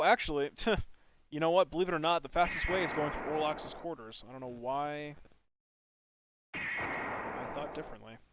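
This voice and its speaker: A man's voice: mid-range voice, a little hiss or hum. A man live streaming a video game, giving casual, informal commentary, thinking aloud and chatting with viewers. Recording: loud game music behind the voice. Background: video game music.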